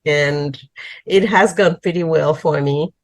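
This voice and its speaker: An older woman from New York calling into a video chat, speaking slowly and gently and searching for words. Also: deep voice, no echo. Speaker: caller. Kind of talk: casual video call chat.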